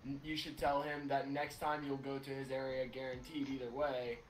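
A man's voice: medium pitch, completely flat delivery, moderate pace. A man from Ohio on a voice call, chatting casually and arguing his point at length, swearing as he goes. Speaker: friend on call. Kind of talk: casual chat. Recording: echoey remote audio with faint hiss.

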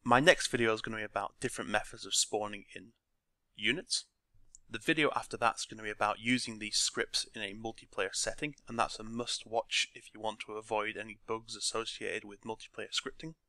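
A man with a croaky voice talking through a game scripting tutorial step by step from his desk. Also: fairly low pitch, fairly quick, no echo. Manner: relaxed step-by-step explaining. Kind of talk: screen-recorded tutorial narration.

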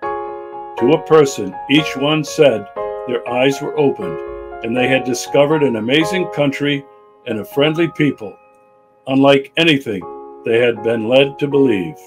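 A man narrating a travel documentary in a calm, measured way. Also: mid-range voice, poor audio. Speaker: narrator and interviewer. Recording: voice-over with loud background music.

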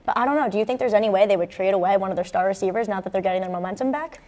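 A woman's voice: mid-range voice, rapid-fire, mellow. A young American woman co-hosting a student sports show in a conversational commentary style, on a lapel mic. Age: young adult, early 20s. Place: indoor campus TV studio at a desk.

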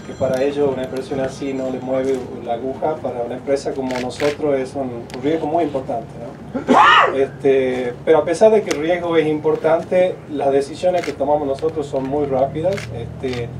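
A middle-aged man with an Argentine accent gives an unscripted thank-you speech at an outdoor ceremony, full of fillers and restarts. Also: medium pitch. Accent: Argentine Spanish. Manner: halting, many filler words and restarts. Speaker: company executive giving a speech. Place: outdoors at a groundbreaking ceremony under a tent. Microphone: handheld mic at a podium, filmed from afar. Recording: distant outdoor camera audio with noise.

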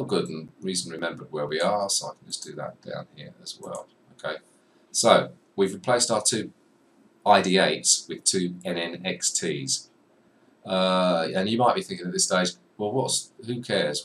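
A young man giving a chatty, self-deprecating software tutorial at his desk, talking to the viewer as he clicks through menus. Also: low-pitched, moderate pace. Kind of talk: screen-recorded software tutorial. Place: small room at a desk. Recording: slightly distant mic with faint room noise.

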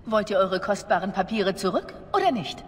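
A woman's voice: medium pitch, sing-song, normal speed, a little hiss or hum, lightly acted. An actress playing a vengeful, determined young female bard wounded by betrayal in a dubbed video game drama. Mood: insistent. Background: loud music.

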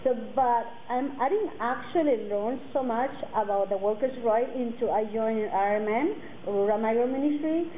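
A woman in her forties, a native Mixtec speaker, speaking earnestly to students and halting often with fillers and restarts. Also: on the high side. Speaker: guest speaker. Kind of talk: personal talk to students. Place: classroom with curtains, indoors. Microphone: table mic on a stand. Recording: tinny phone-like sound, faint noise.

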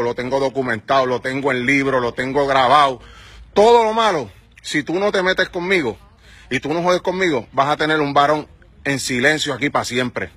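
A man's voice: fairly high pitch, fairly bright tone, husky, dry recording. Heated Puerto Rican man venting outdoors. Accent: Puerto Rican Spanish. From Puerto Rico. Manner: heated, confrontational, rhetorical questions. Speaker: music manager. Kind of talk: angry selfie-video rant. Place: outdoors on a sunny residential street. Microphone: phone at arm's length.

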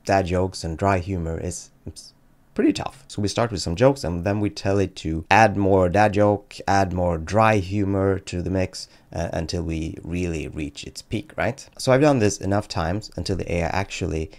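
A man's voice: low voice, moderate pace, a little mellow. A man in his thirties presenting a casual tutorial with dry, tongue-in-cheek delivery, close to a desk microphone indoors. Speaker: tech YouTuber and presenter. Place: small dim home room, indoors. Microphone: close boom-arm desk microphone.

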